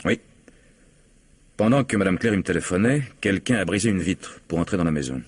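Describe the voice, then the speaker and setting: Deep-voiced, probing police commissioner. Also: male voice, lively intonation, husky, no room sound. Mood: suspicious, probing. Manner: measured, dry, insinuating. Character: calm, probing, skeptical male police commissioner. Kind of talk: old-fashioned French radio mystery acting.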